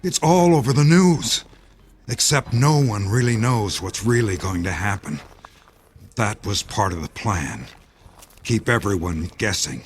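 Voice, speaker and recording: An actor playing Jerry, a weary, resigned insider explaining the end of the world in a grave radio-drama manner. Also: male voice, lowish voice, animated, unhurried, a little hiss or hum. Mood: bitter, explaining. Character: Jerry, weary male think-tank insider facing doomsday, resigned.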